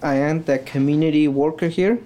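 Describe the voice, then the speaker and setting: A man from Oaxaca, Mexico, whose first language is Triqui, speaking with a Mexican Spanish accent as a community worker giving an interview in halting, simple phrases. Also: fairly high pitch, steady tone, dark tone.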